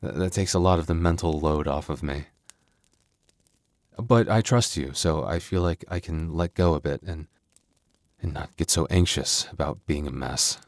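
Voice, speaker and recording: Man acting a soft, very low-voiced werewolf boyfriend. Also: normal speed, no room sound. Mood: tender, relieved.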